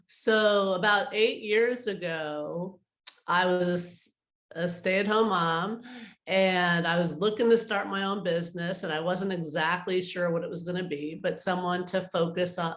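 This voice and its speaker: A woman's voice: on the low side, unhurried, a bit bright, roomy sound. A middle-aged woman speaking as an interview guest in a casual, conversational style. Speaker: interview guest, artist advisor.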